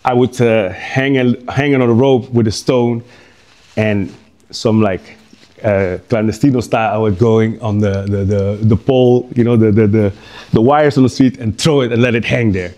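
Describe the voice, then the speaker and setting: A man's voice: lowish voice, steady tone, normal speed, dark tone. A middle-aged man from Curaçao giving an informal artist talk to an audience, rambling and hesitant, with many fillers and restarts.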